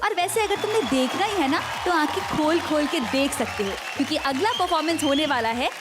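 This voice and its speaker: A woman's voice: sits high, lively intonation, brisk, over a noisy crowd. A woman hosting an award show on stage, announcing awards and bantering playfully with her co-host. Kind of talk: stage emcee patter. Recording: loud music behind stage voice.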